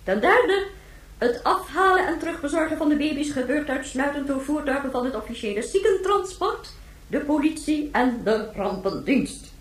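An actress playing a formal planetary president in a radio drama, reading an official address in a stately, measured manner. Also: female voice, high voice, animated. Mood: solemn, official. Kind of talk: formal government broadcast speech in radio drama. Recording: echoey, roomy sound.

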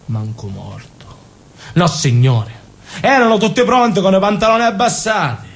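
A man acting a naive, passionate young Sicilian man in an intense, confessional stage monologue with a Sicilian accent. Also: high-pitched. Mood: agitated, defiant. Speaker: stage actor. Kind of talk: theatrical monologue in dialect.

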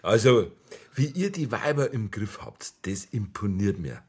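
A simple, chauvinistic Bavarian pub regular in a radio play. He speaks bluntly and eagerly in a lowish, animated voice. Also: unhurried, husky, full character voice. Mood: eager, curious. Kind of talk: German radio crime drama acting.

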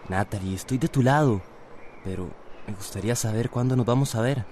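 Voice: mid-range voice, brisk, darkish tone, slight background noise, natural voice. An actor playing a skeptical, teasing young boyfriend in a radio drama, dry and sarcastic. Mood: questioning.

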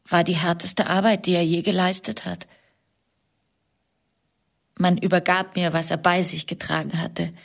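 Slow, halting grieving widow. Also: female voice, lowish voice, creaky, full character voice. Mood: quiet sorrow. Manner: halting, hushed, pausing between short phrases. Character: grieving middle-aged widow, quiet, confessional, self-reproaching. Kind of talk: German radio drama acting, intimate monologue.

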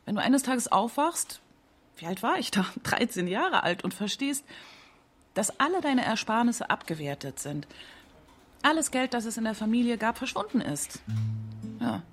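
A woman reading a translated interview as a radio documentary voice-over, in a conversational, measured way. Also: fairly high pitch, big pitch swings, soft background music.

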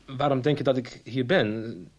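An actor playing an obsessed, threatening man in a radio soap, halting and insistently repeating his words. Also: mid-range voice, a little mellow, close to an everyday voice. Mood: tense, threatening. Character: obsessed, desperate man hunting lost paintings, threatening. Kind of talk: 1990s radio soap acting.

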